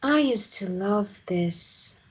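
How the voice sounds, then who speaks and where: A woman voicing a frail, ailing wife in a drama script read, gently pleading. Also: medium pitch, expressive, slow, slightly rough. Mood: weak, wistful.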